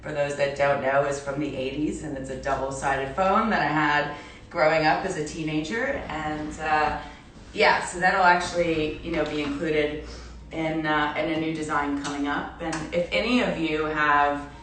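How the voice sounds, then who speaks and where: A woman from Belgium in her forties chatting casually on a livestream as an artist, with a halting manner and trailing restarts. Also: deep voice, steady tone, fairly bright tone, slight background noise. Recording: echoey room, distant mic, music behind.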